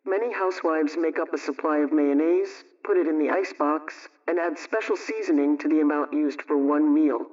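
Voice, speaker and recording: A man with a fairly high voice reads household advice from a script, sounding somewhat far from the microphone. His delivery is measured and instructional, and the radio sound is dull and muffled. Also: fairly even intonation, a little mellow. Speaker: radio homemaking program presenter.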